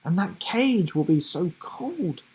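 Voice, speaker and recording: Guy doing a sceptical young girl in a kids adventure story, higher voice, swoopy, exasperated. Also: very animated, slow pace, darkish tone, husky, full character voice. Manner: sceptical, questioning.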